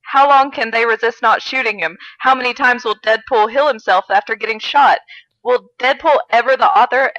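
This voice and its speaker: A woman's voice: fairly high pitch, moderate pace, a bit bright, no room sound, dull audio. A woman co-hosting a podcast, giggly and wry as she chats and reads aloud for comedy. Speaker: podcast co-host. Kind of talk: comedic read-aloud of fan fiction with casual chat.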